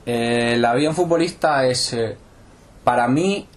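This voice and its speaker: A man's voice: medium pitch, a little slow. Young man with a southern Spanish lilt. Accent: Andalusian-tinged Spanish. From Spain. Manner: steady, explanatory, with frequent hesitation fillers. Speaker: interviewed footballer. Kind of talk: casual interview answers.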